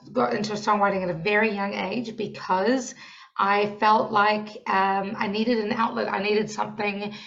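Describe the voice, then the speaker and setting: A young woman with a New Zealand accent chats as a podcast guest, loud and lively, quick to laugh. Her voice sits at a middling pitch. It comes through a slightly dull, lo-fi remote line. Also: gravelly. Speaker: podcast guest, songwriting coach. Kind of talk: casual interview chat.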